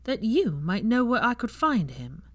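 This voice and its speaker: A woman's voice: a little high, sing-song, measured pace, voice pushed up. A woman voicing a haughty older aristocratic mother in a fan fiction reading, with a cool, clipped, disdainful manner.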